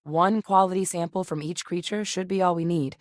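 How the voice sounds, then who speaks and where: An actress voicing a composed female scientist-soldier companion in a video game, speaking in a measured way. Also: lowish voice, fairly even intonation, no room sound, close to an everyday voice. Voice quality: measured and composed, with sudden urgent shouts in combat. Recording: dry studio recording.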